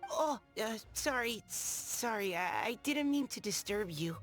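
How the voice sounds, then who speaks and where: A woman's voice: a little high, sing-song, measured pace, a bit bright, soft background music. A woman voicing a shy, apologetic young woman who speaks hesitantly in a video game. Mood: nervous, curious. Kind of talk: scripted game voice acting.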